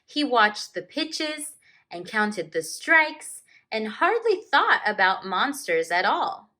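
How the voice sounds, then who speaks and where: A woman teacher reading a picture book aloud to children, expressively and with deliberate dramatic emphasis. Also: medium pitch, pushed and bright.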